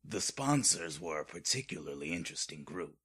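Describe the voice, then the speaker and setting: A man's voice: very deep voice, big pitch swings, fairly quick, a bit bright, slightly rough. A man reading scripted horror narration in an ominous, dramatic manner.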